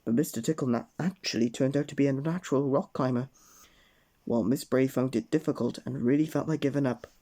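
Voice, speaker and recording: A man reading a children's storybook aloud at bedtime, gently addressing the young listener. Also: mid-range voice, a little fast.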